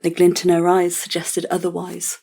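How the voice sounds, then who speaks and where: A woman reading scripted fantasy narration for an audio drama in a measured, solemn way. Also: on the low side, completely flat delivery, gravelly, dark tone, slightly rough.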